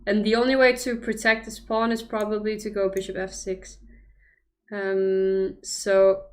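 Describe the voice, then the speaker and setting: A woman's voice: mid-range voice, little pitch movement, measured pace. A young woman from Denmark, commentating chess live on a stream and thinking aloud over the moves with hesitant restarts. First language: Danish.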